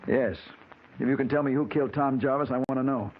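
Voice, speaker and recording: Actor as a troubled noir investigator, noisy old radio. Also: male voice, medium pitch, quick pace, phone-quality sound, natural voice. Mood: agitated. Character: troubled, lovestruck hardboiled investigator narrating his own case. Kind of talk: old-time radio noir acting and narration. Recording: very noisy, band-limited old radio recording.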